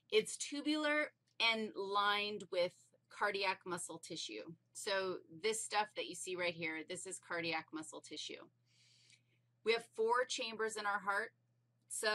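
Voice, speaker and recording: A woman's voice: medium pitch, pushed and bright. A woman teaching anatomy in an informal, playful and emphatic way, explaining things step by step.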